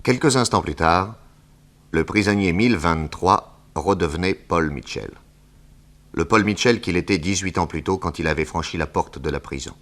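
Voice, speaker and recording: A man narrating a scripted 1960s French radio drama in a measured storytelling manner. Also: deep voice, fairly bright tone.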